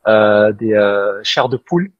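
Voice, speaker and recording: Husky-voiced French host on a dull, dry recording. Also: male voice, on the low side, expressive, no echo. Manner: halting, many fillers. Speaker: podcast host, interviewer. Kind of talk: casual interview questions.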